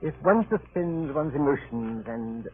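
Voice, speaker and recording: A man's voice: a little high, expressive, measured pace. A cynical British consul in a 1930s radio play, speaks in a lightish register with urbane, hesitant condescension. He sounds fussy and slyly evasive. The recording is thin and narrow, with audible hiss and crackle. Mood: blandly dismissive. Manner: drawling, hesitant, patronizing and blandly urbane. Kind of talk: 1930s radio drama acting.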